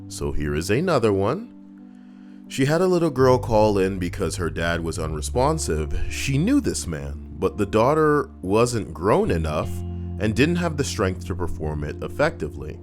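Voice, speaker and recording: Male streamer, deep and lively in pitch, casual reader who comments as he goes, guitar music behind. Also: measured pace. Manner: relaxed, chatty, reacts aloud to what he reads. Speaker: streamer reading stories aloud. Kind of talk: casual livestream reading and chat. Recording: guitar music playing underneath.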